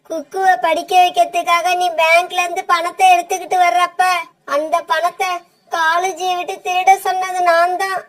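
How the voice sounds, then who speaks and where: A woman voicing a plaintive, lamenting widowed mother crow in an animated bird fable. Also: extremely high pitch. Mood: remorseful. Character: tearful old widowed mother crow, betrayed and remorseful.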